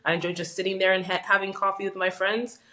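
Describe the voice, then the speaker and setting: A woman's voice: medium pitch, flat delivery, fast, pushed and bright. A middle-aged woman from Western Canada, a physician and podcast guest, talks in a reflective, conversational way with many fillers and restarts. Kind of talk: casual interview conversation.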